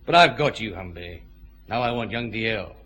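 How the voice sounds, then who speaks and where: An actor playing a middle-aged Scottish chief inspector in a radio detective drama, brisk, pressing and confident, with dry humour. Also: male voice, lowish voice, expressive, fairly bright tone, breathy edge. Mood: forceful, confronting.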